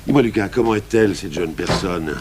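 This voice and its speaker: Seasoned police commissioner played by a male actor, very low voice, terse and unhurried questioning, vintage radio crime serial. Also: natural voice. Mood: brisk, authoritative. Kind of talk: 1980s French radio crime drama acting. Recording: faint noise, old radio broadcast recording.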